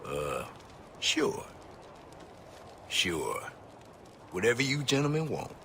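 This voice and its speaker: An actor playing a cowardly, shifty frontier hunter in a video game, with a folksy rural American drawl. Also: male voice, on the low side, huge pitch swings, takes it slowly, bright, forceful tone, heavily acted. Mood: nervous, compliant. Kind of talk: video game character acting. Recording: clearly noisy outdoor game ambience.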